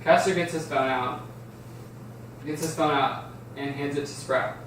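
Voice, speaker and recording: A man narrates a script at an amateur table read. His voice is slightly high. The recording is distant and very echoey, with faint noise. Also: steady tone, a little slow, a bit bright. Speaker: narrator reading stage directions.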